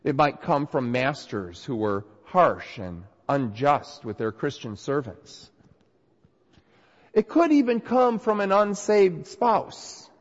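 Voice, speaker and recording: A male pastor getting over a cold delivers an earnest sermon, his voice slightly nasal and raspy. He speaks slowly, with a pitch that swings animatedly on his points. The recording is dull and muffled, with long reverb from the hall around him. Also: very animated. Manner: earnest, emphatic preaching. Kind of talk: sermon with scripture reading.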